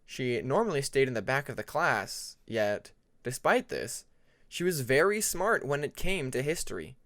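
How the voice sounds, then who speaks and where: A man narrating a scripted fantasy story as an audiobook reader, with deliberate, dramatic phrasing. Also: medium pitch, sing-song, a bit bright, no room sound, clean studio sound. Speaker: audiobook narrator of fan fiction.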